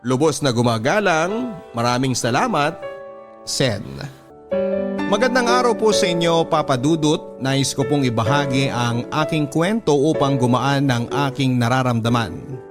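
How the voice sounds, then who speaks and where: A man with a Filipino accent reads personal love-story letters as a radio host, in measured, sentimental dramatic narration. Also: medium pitch. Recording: music playing under the voice. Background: background music.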